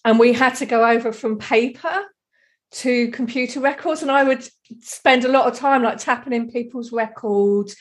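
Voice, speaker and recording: A woman's voice: fairly high pitch, normal speed. A middle-aged woman from Kent with a southern English accent, chatting casually and reminiscing in a rambling, easily amused way. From Kent, England.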